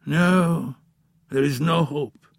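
A man voicing a frail elderly male professor in an audiobook, with a raspy, slightly unsteady voice and a slow, grave, weary way of speaking. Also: a little high, no echo, clean studio sound, pitched above the performer's own voice, heavily acted. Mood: despairing. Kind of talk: audiobook character voice.

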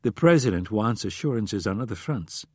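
A male narrator reads the part of a shrewd woman running American intelligence in an audiobook thriller. The delivery is measured, diplomatic and firm, with a slightly husky edge and a dry, close sound. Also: lowish voice, lively intonation, a little mellow, dry recording. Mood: neutral, firm. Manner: neutral, measured, controlled.

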